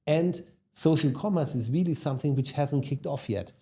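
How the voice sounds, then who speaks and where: A man's voice: fairly high pitch, normal speed, dark tone. A man with a German accent, a guest on a business podcast, talking casually with many ums and tag questions. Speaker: guest, e-commerce executive. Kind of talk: podcast interview.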